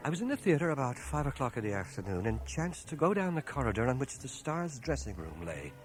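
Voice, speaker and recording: Male actor playing an earnest fifty-ish schoolmaster in radio drama, literate and confessional, expressive pitch swings, music behind, cassette-era sound. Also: fast, lightly acted. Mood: lovelorn anguish. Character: lovestruck, troubled fifty-year-old schoolmaster telling his confession. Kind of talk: radio drama narration and dialogue. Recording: 1980 radio drama on home cassette transfer.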